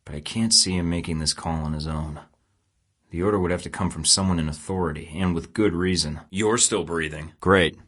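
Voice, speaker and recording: A cocky young secret agent for a video game, speaking in a very low register. His lines come out clipped and deadpan, and he slips in sardonic jabs between mission calls. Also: a little fast. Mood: wry, clipped. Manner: terse, dry and sardonic. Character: wry, cocky young male spy agent. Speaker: game protagonist.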